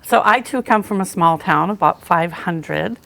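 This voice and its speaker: Woman host, low voice, gravelly edge, encouraging. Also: unhurried. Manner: encouraging, warm affirmations. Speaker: local TV talk show host. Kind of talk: hosting an author interview.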